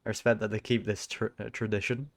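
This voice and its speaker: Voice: mid-range voice, level tone, fairly quick. A game streamer giving casual, slangy commentary on a playthrough with offhand swearing. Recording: commentary over game music.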